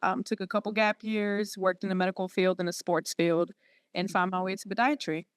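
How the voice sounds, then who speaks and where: A woman's voice: mid-range voice, steady tone, clear, resonant tone, no echo, studio quality. Earnest woman. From Syria. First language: Arabic. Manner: earnest, halting with many fillers and restarts. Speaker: podiatry student guest. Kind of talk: personal story in interview.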